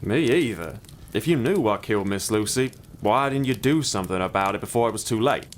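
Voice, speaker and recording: Blunt, sincere suitor , male actor for period horror drama. Fairly low, plain delivery. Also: expressive, fairly quick, slight background noise, close to an everyday voice. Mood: blunt, sceptical. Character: earnest, plain-spoken gentleman suitor, grieving and blunt. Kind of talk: audio period drama acting.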